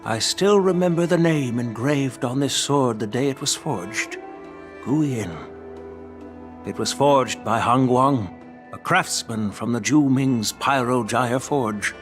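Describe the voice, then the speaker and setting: An actor playing a dignified elderly grandfather and general in a video game, formal, measured and authoritative. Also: mid-range voice, soft background music, close to an everyday voice. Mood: solemn, formal.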